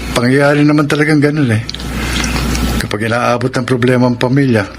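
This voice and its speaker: A man's voice: mid-range voice, steady tone, brisk, natural voice. A man playing a young working husband and brother, patient but defensive, in a radio drama. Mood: apologetic, explaining. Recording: noisy radio drama sound, ambient effects.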